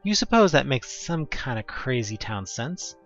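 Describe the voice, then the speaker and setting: A man's voice: medium pitch, very animated, normal speed, fairly bright tone, muffled recording, faint music behind. A man narrating an interactive horror story in the second person, with a theatrical, wry delivery. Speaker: narrator of a fan audio drama.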